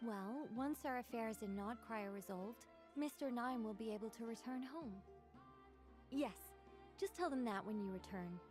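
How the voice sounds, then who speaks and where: Woman acting witty female author, high voice, articulate, composed, gently teasing, game dialogue, faint music behind. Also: lively intonation, moderate pace, lightly acted. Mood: playful, explanatory. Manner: articulate, conversational, lightly teasing.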